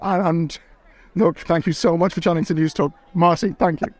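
A man's voice: on the high side, steady tone, moderate pace, a little mellow, no echo. A male reporter chatting eagerly with a guest. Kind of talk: field interview.

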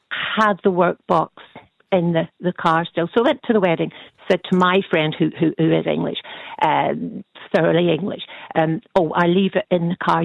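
A woman's voice: on the low side, vocal fry, no room sound. A woman from Northern Ireland with a Northern Irish accent, calling in to a radio show and telling a story hesitantly. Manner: hesitant, many restarts. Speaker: radio caller. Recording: tinny phone line.

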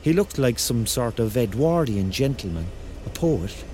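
Animated Cork storyteller. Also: male voice, mid-range voice, measured pace, a little hiss or hum. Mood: wry storytelling. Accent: Cork Irish. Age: 30s. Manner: colloquial, conversational storytelling. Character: rambling, excitable thirty-year-old Corkman on a surreal night out. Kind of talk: radio drama acting, first-person narration and dialogue.

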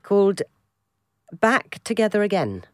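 A woman's voice: medium pitch, big pitch swings, measured pace, no echo, clean studio sound. A woman hosting a podcast interview, teasing and laughing with her guests. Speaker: podcast host. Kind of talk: radio interview.

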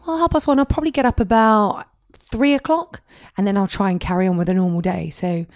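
A woman in her forties with a husky, hoarse voice from a sore throat, chatting in a relaxed, self-deprecating way as a podcast guest. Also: mid-range voice, fairly quick, no echo, studio quality. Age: 40s. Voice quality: husky, scratchy. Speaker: podcast guest, news anchor. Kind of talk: casual interview chat. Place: at home in her flat.

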